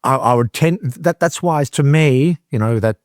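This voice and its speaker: Male guest on an interview podcast, measured and deliberate delivery; mid pitch, dry sound. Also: no room sound. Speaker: guest expert. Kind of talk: conversational interview.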